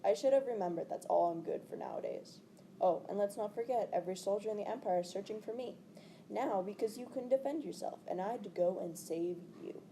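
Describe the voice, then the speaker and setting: A woman's voice: mid-range voice, fairly quick, mellow, slight background noise, close to an everyday voice. A woman reading the part of a bitter, sarcastic young male fugitive in a storybook. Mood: angry, sarcastic. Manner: sarcastic, accusing.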